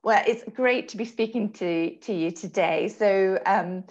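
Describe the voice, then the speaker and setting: A middle-aged woman interviewing in a measured, conversational way over a video call, with slightly dull sound. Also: medium pitch, a little mellow. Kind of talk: online interview. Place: small room indoors, video call.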